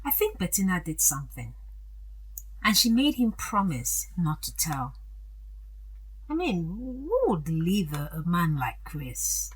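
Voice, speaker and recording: An actress playing a wealthy, gracious older aunt in an audio drama, chatty and confiding, with a hesitant, deliberate delivery. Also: female voice, fairly low pitch, big pitch swings, husky, full character voice, low-quality recording. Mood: emphatic, fond.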